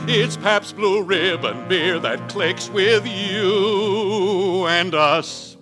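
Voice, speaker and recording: A man's voice: high-pitched, extremely slow. A man with an American accent delivering jaunty 1940s radio advertising patter. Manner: jaunty, rhyming sales delivery. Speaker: commercial pitchman. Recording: vintage radio broadcast recording. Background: music.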